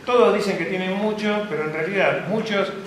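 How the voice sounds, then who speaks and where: A man's voice: high voice, brisk. A man with an Argentine accent gives an open class, telling anecdotes and asking the audience whether they follow him. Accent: Argentine Spanish. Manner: anecdotal, asks the audience for confirmation. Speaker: guest lecturer. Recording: very echoey classroom, faint background noise.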